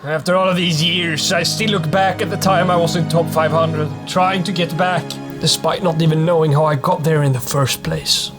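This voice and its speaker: A man's voice: on the high side, steady tone, moderate pace, few pauses. A man narrating a mock-serious gaming guide in an earnest lecturing tone. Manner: earnest lecturing tone over comic clips. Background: background music.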